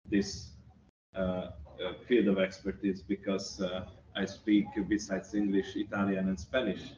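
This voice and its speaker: Slow Hungarian-accented man, distant and roomy. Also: low-pitched, darkish tone. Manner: thoughtful, with many pauses and fillers. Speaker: researcher. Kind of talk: interview answer. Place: office room by a large window. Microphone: far camera. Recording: roomy, distant, muffled, faint hiss.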